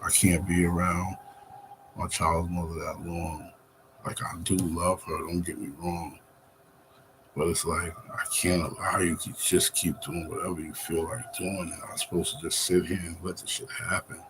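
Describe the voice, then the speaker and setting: A man's voice: deep voice, flat delivery, moderate pace, noisy background. A man about fifty, rambling on a live stream, weary and venting with plenty of swearing. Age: 50s. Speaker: livestreamer.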